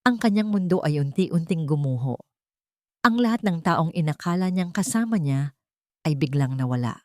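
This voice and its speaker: A woman narrating a dramatic love story in a steady, scripted storytelling manner. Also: sits low, normal speed, no room sound, clean studio sound.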